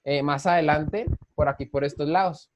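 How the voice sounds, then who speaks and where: A man's voice: on the high side, moderate pace, dull audio, slight background noise. A man hosting an interview in a conversational way, with polite, hesitant phrasing. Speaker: channel host and interviewer.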